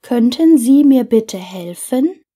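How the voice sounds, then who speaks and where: A woman's voice: a little high, expressive, slow pace, warm tone, husky. A woman language teacher reading scripted example dialogues for beginners in careful, simple short phrases.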